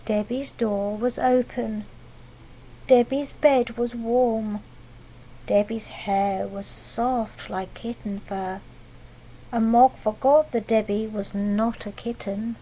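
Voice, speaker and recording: A woman's voice: on the high side, steady tone, slow, warm tone, low-quality recording. A British woman reading a picture book aloud like a primary teacher, with simple child-directed asides and little tag questions. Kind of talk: children's storytelling with explanatory asides.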